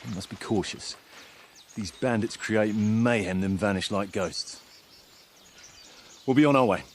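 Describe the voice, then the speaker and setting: An actor playing a stern, wary guard captain in a game, speaking in a curt, formal and polite way. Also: male voice, fairly low pitch, a little slow, slight background noise, close to an everyday voice. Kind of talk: video game cutscene acting.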